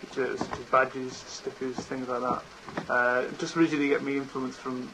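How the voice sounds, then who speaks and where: A man's voice: medium pitch, flat delivery, normal speed, background noise. A man, chatting hesitantly and repeating himself as an interview guest. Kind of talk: casual interview chat.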